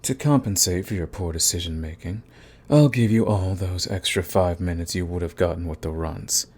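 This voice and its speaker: Man acting a deep-voiced, smug villain. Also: darkish tone, slightly rough. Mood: menacing. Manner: taunting, smug, unhurried threats. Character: cocky, menacing male villain, coolly fond of his enemy. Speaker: villain in an audio roleplay. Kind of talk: ASMR roleplay acting, addressing the listener.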